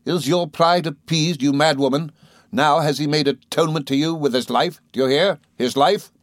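A fierce, vengeful woman with a scar spits shrill accusations in a high, strained voice, as a male reader puts her on. The sound is dry and close, with no room around it. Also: normal speed, dry recording, natural voice. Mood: furious.

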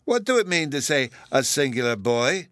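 Storybook little boy, played by a man: higher-pitched, lively, babyish mispronunciations, stubborn and sincere. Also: normal speed, no room sound. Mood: earnest. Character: small boy, earnest and stubborn, childish grammar.

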